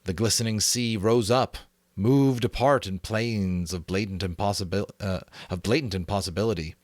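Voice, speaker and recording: A man giving a dramatic audiobook-style reading of a novel, with expressive emphasis and the occasional self-correction. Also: fairly low pitch, moderate pace, no echo. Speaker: narrator.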